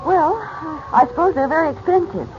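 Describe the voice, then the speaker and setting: An actress in a 1940s radio serial playing a cautious, gently anxious mother. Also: high voice, animated, a little fast. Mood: uneasy. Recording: muffled, noisy old radio recording.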